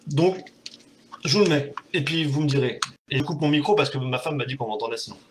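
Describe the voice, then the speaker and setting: A man's voice: a little high, a little fast, slight background noise. A man hosting a casual live stream from home, rambling and self-mocking with many fillers, his keyboard audible on the desk mic. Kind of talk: casual live chat with viewers. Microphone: desk mic, typing audible.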